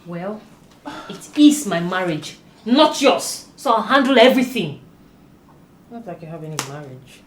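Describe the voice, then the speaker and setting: A woman's voice: mid-range voice, animated, a little slow, pauses often, roomy sound, natural voice. An actress playing a young wife who demands answers and defends her marriage.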